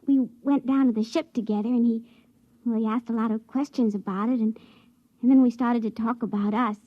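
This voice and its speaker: Woman playing a shy young assistant, high voice, dreamy, hesitant, tender, 1950s radio drama, tinny phone-like recording. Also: steady tone, a little fast, close to an everyday voice. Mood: hopeful, shy. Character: shy, starry-eyed young female assistant in love.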